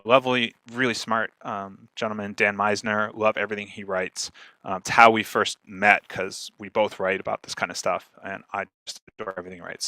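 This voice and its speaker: A man's voice: on the low side, pushed and bright, smooth, dry recording. A man giving an informal instructional presentation as a speaker, explaining things step by step and checking in with listeners as he goes. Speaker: speaker, podcast marketer giving a talk.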